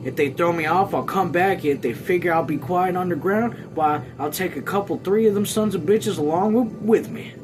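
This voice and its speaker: A man's voice: high-pitched, normal speed, hardly any pauses, over music, pitched above the performer's own voice, close to an everyday voice. A man reading aloud as a stubborn, truculent country farm man, defiant and profane, with emphatic folksy speech. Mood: angry, defiant.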